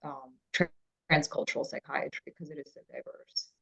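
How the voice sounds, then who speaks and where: Low gravelly woman from Ukraine. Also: fairly even intonation, normal speed, a bit bright, muffled recording. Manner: thoughtful, hesitant with many fillers. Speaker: psychiatrist. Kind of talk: casual professional discussion.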